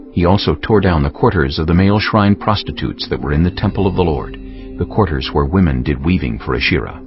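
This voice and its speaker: A man narrating a scripture audiobook in a measured, solemn style. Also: low-pitched. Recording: dull lo-fi sound with music behind.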